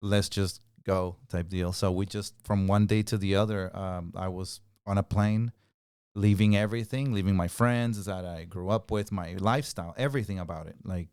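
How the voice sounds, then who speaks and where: Low-voiced man, smooth and dry. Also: moderate pace, no room sound. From Peru. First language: Spanish. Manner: relaxed, conversational, mixes in Spanish phrases. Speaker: podcast guest. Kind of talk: casual interview storytelling.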